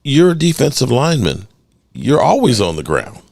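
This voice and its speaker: A middle-aged man hosting a sports call-in show, animated and joking, swearing freely. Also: mid-range voice, moderate pace, vocal fry, dry recording, studio quality. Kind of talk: casual call-in show talk.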